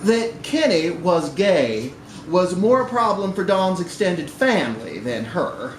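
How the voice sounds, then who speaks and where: A man with a slightly high voice reads a short story to a live event in an expressive narrating style. The sound is very roomy, with some background noise from the bar. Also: slow pace. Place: indoor bar reading event.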